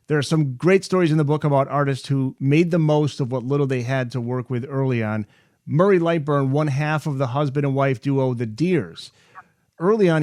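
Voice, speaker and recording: A man's voice: medium pitch, no echo, clean studio sound. A man hosting a music interview podcast, moving between prepared introductions and questions to his guest. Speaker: podcast host interviewing an author.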